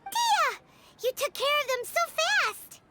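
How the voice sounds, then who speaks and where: A woman voicing a tiny, childlike girl companion in an anime game, squeaky, excitable and protective. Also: unhurried, noisy background, exaggerated voice. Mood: excited. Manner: excitable, exclamatory. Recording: background music over game dialogue.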